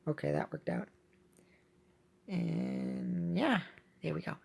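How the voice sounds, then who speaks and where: A woman's voice: on the low side, wildly swooping pitch, takes it slowly, gravelly, darkish tone, slightly rough. A woman giving casual, rambling gameplay commentary as a video host, with playful wordplay.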